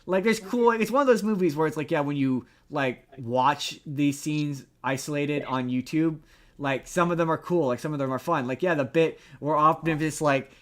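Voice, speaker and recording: A man co-hosting a podcast, chatting casually about pop culture in an excitable, stammering, emphatic way with casual swearing. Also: on the high side, moderate pace. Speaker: podcast co-host.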